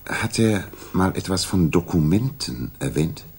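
An actor playing a calm, probing gentleman detective with measured, formal courtesy and a husky voice in a 1960s German radio crime drama. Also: sits low, full character voice. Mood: probing, earnest.

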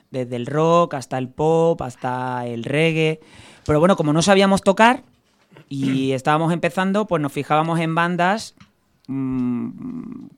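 A young man from Málaga with a faint Andalusian accent, chatting and laughing as a band member in a radio interview. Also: a little high. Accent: Andalusian Spanish, faint. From Málaga, Spain. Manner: chatty, laughs often, warm banter. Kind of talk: casual radio interview chat.

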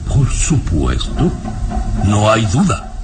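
An actor playing a secretive, formal baron in an old radio serial, evasive and deliberate in manner. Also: male voice, fairly low pitch, huge pitch swings, exaggerated voice. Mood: cold, curt. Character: secretive, obsessive baron, grieving husband who hides the truth. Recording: noisy old radio drama recording.

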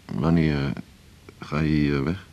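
A man's voice: a bass voice, fairly even intonation, slow, dark tone, dull audio, full character voice. An actor playing a cynical, soured male doctor in a radio drama, with dry, blunt, clipped delivery. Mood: dry, businesslike. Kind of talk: 1980s Dutch radio drama acting.

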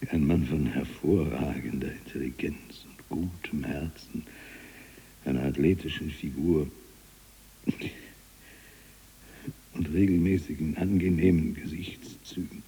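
A haunted male prisoner from a radio play. His voice is low and very dark, with a breathy husk. He speaks in a brooding, halting way, a learned man gripped by fear. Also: heavily acted, poor audio. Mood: weary, brooding. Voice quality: husky, breathy, dropping to whispers. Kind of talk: radio drama monologue.

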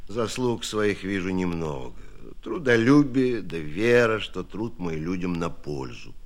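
An actor in a Soviet radio play voicing an elderly, modest, good-humoured scholar with folksy, old-fashioned speech. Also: male voice, sits low, expressive, measured pace. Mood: modest, sincere.

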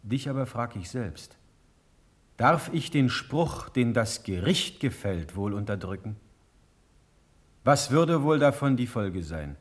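A man's voice: medium pitch, very animated, slow. An actor playing a fatherly but stern older prince in a classical verse drama, speaking in a dignified, weighty, rhetorical way. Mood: grave, reasoning.

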